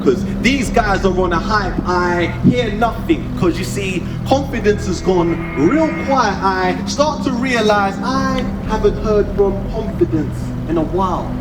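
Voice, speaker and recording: A man performing spoken word poetry in a rhythmic, expressive, conversational delivery. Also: high voice, unhurried. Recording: echoey, distant, loud music behind.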